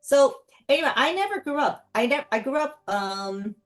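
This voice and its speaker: A woman's voice: sits high, animated, normal speed, faint music behind. A middle-aged woman born in Korea, explaining in casual conversation full of fillers and restarts. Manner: rambling, many fillers and restarts. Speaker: interviewee.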